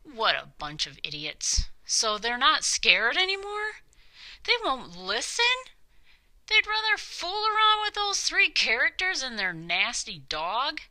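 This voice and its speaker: A woman's voice: high-pitched, big pitch swings, a little slow, sharp tone, pitched above the performer's own voice, full character voice. A woman voicing a contemptuous, grumbling, scheming male king from a children's storybook, with a blustering, sneering delivery. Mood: indignant, grumbling. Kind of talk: children's storybook character reading.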